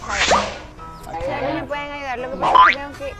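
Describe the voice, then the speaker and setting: Young woman w/ a high voice sweet-talking strangers into work, filmed outside on a busy street, loud music going. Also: fast. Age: young adult. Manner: coaxing, playfully bossy. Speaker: prank video host. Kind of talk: hidden-camera street prank. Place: outdoor street construction site.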